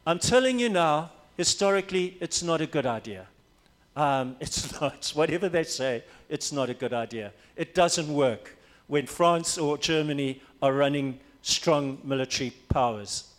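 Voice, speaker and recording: Preacher guy from africa, pretty high voice, hammers his points by saying them twice. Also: animated, unhurried. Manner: emphatic, repeats key phrases. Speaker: pastor. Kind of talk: sermon.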